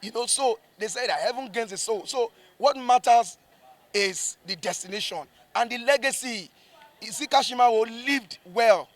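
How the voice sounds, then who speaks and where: A man's voice: very high-pitched, moderate pace. A man with a Nigerian accent, a stage actor giving a reflective interview, emphatic and repeating words for effect.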